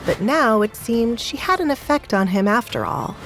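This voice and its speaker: A woman's voice: a little high, animated, moderate pace, fairly bright tone, faint music behind. A woman narrating a scripted dramatic story as its narrator.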